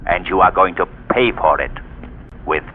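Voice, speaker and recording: An actor playing a mysterious, commanding male avenger in a 1930s radio drama, speaking in a stern, deliberate manner. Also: deep voice, expressive, slight background noise. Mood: ominous. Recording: tinny old 1930s radio recording with music.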